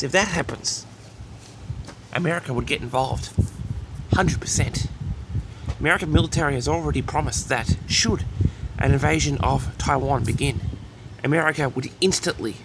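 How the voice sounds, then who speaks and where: A man's voice: mid-range voice, poor audio. Self-important man lecturing his audience. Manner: self-important, grandiose, keeps addressing the audience. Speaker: host of a comedic audio log. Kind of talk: mock-serious monologue to an audience.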